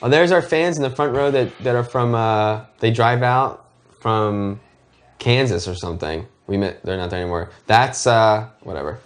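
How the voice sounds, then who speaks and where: A man's voice: medium pitch, moderate pace. A man co-hosting a show, talking casually in a group commentary on the show's own work. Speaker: show co-host.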